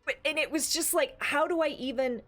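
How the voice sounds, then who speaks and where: A woman's voice: high voice, a little fast, fairly bright tone, faint music behind. A woman co-hosting a casual, opinionated discussion, talking at length emphatically with rhetorical questions. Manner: talkative, emphatic, builds long explanations with rhetorical questions. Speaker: podcast co-host.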